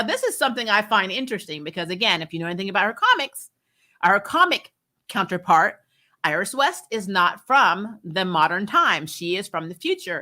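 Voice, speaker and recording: A woman hosting a casual, opinionated TV recap, animated and sarcastic, with mock quotes and some mild swearing. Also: fairly low pitch. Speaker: host of a TV review channel.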